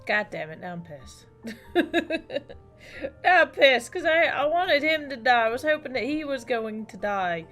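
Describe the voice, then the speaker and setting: A woman's voice: high voice, big pitch swings, a little slow, a bit bright, faint music behind. A woman live streamer giving casual gameplay commentary in a blunt, sweary way.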